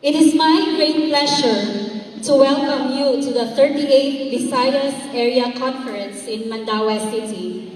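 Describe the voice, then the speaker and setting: A woman's voice: high-pitched, measured pace, darkish tone. A woman with a Filipino accent giving a formal, emphatic welcome speech as an officer at a convention. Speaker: convention speaker, organization officer. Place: large indoor sports complex over PA. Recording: very echoey large hall, distant, faint noise.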